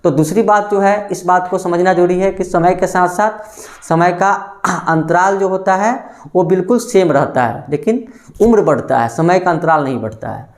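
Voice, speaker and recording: A man's voice: high voice, moderate pace. A man teaching a maths lesson for exam preparation. He calls his listeners friends, asks the class a question and then answers it himself, repeating the answer.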